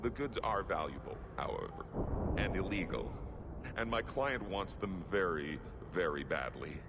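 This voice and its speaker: A man's voice: low-pitched, lively intonation, a little slow, heavy background noise. An actor playing a nervous, timid male dwarf merchant and smuggler in a fantasy video game, flustered, polite and evasive. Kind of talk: video game cutscene acting.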